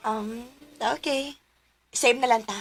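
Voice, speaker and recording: A woman's voice: a little high, fairly even intonation, slow. A woman with a Filipino accent playing a confident, friendly project manager in a radio drama. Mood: friendly, flirty. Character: Miss Nika, confident, friendly single female project manager.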